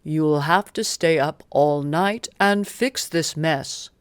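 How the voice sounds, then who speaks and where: A woman's voice: low voice, slow, clear, resonant tone, dry recording, clean studio sound, voice pushed down. Woman voicing a bossy, scheming storybook fairy king. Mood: cross, scolding. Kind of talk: children's storybook character voice.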